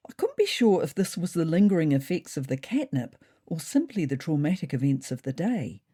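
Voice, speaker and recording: A woman narrating a scripted first-person story as an expressive, measured storyteller. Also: deep voice.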